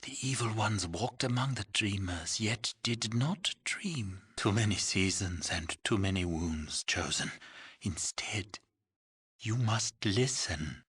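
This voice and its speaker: Slow, husky mystic shaman. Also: on the low side, fairly bright tone, heavily acted. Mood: mournful, solemn. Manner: slow, mystical, poetic riddling. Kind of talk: video game character acting.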